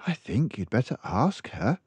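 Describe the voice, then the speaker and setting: Man as a storybook lady librarian, raised pitch, gentle, proper, watchful and caring. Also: big pitch swings, measured pace, husky, no room sound, exaggerated voice. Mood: gentle concern. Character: kindly, concerned woman librarian, gentle and watchful. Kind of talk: storybook character voice.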